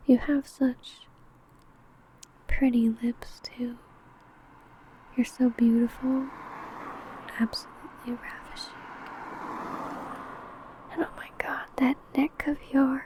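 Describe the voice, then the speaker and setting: A woman playing a seductive, possessive female vampire who stalks her prey in an intimate ASMR roleplay, teasing and menacing in a breathy, often whispered voice. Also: sits high, steady tone, mellow, poor audio. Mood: enamoured, seductive. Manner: slow, teasing, menacing, deliberate.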